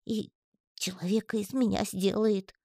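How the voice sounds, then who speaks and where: A woman's voice: mid-range voice, big pitch swings, darkish tone, no echo, clean studio sound, voice pushed up. A woman voicing a tiny, lonely male spider who talks in a plaintive, pleading way for an audiobook. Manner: plaintive, pleading, quick to take offence. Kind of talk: audiobook reading.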